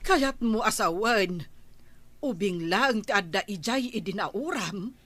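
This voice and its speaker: A woman's voice: mid-range voice, expressive, slow pace, fairly bright tone. A woman playing a practical, scolding wife in an Ilocano radio comedy drama. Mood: questioning.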